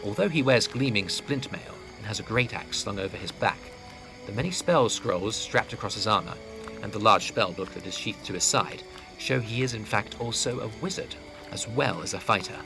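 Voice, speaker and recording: Male narrator, deep-ish gravelly voice, scripted fantasy storytelling, music underneath. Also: expressive, moderate pace, rough recording. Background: clear background music.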